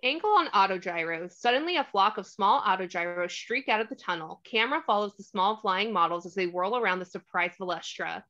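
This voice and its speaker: A woman's voice: medium pitch, lively intonation, fairly quick, few pauses, bright, forceful tone. A woman reading scripted stage directions as narrator of a screenplay table read, on a slightly dull microphone.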